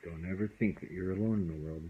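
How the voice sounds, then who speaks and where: A father narrating a bedtime story in a gentle, loving, unhurried way. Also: low voice, narrow, tinny audio, a little hiss or hum.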